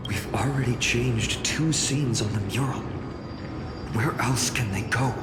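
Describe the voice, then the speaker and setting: A man playing a steady, courteous leader of adventurers in a fantasy game, composed and decisive. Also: medium pitch, unhurried, lightly acted. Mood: composed resolve. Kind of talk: scripted fantasy game acting. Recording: echoing, loud music behind.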